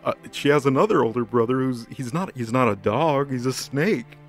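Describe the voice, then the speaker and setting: A man casually retelling a story in a rambling, mock-serious deadpan, improvising dialogue for comic effect. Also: mid-range voice, moderate pace, soft background music. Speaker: co-host retelling a story recap.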